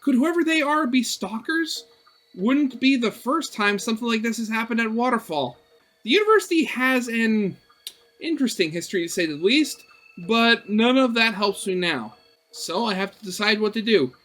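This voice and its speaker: A man's voice: extremely high pitch, expressive, moderate pace, fairly bright tone. A man narrating a visual novel aloud as a gaming host, switching between storybook-like reading and offhand, sarcastic commentary.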